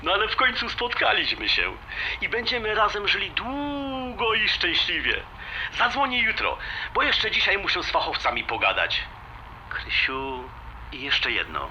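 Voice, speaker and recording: A man's voice: high voice, very animated, moderate pace, bright, forceful tone, slight background noise, exaggerated voice, poor audio. A man playing an enthusiastic, romantic suitor in an audio drama, talking colloquially with bits of English mixed in. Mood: excited, smitten. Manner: eager, colloquial, mixes in English words. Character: enthusiastic, romantic suitor Jasiek, sure fate joins him.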